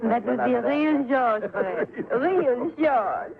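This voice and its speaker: A slow, coquettish young woman clings to her gambler, her high voice drawn out. It comes through a thin, tinny old radio broadcast. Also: lively intonation, vocal fry, over a noisy crowd, heavily acted. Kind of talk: 1950s radio drama acting.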